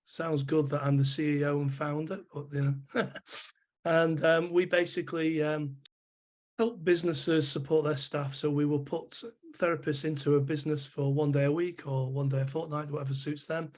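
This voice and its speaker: A man working as a psychotherapist, answering interview questions thoughtfully with a rough voice from a chest infection. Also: a little high, flat delivery, moderate pace. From England. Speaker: psychotherapist guest.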